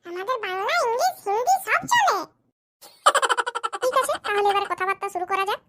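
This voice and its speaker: Excitable comedy cartoon villager, extremely high pitch-shifted voice, colloquial and complaining. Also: male voice, brisk. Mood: chatty. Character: squeaky, excitable cartoon villager at a matchmaking visit. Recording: clearly noisy audio with clicks.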